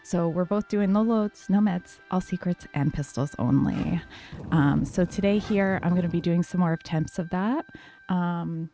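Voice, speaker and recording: A woman streamer chats to her viewers in a soft, calm voice, full of "um"s and loose asides. She speaks into a desk mic. Faint music plays underneath. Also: fairly low pitch, unhurried. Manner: soft, calm, many fillers. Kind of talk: casual livestream chat.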